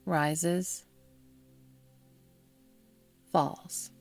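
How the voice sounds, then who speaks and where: A woman guiding a yoga nidra meditation in a soothing, measured manner, leaving long pauses between phrases. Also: on the low side, steady tone. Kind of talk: guided meditation narration. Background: background music.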